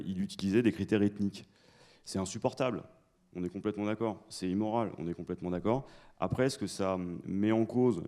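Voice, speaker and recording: Panelist, male, low and mellow, measured emphatic delivery in a lecture hall. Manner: measured, emphatic, polls the audience. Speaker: conference panelist. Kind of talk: explanatory lecture-style talk to an audience.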